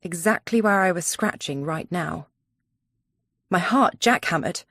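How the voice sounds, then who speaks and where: A woman's voice: on the low side, unhurried, a bit bright, no room sound, clean studio sound. A woman reading scripted first-person thriller narration as an audiobook narrator.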